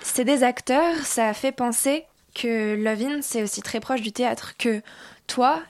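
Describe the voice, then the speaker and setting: Female radio host, fairly high voice, informal halting questions, very dry sound. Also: dry recording. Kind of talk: conversational live radio interview.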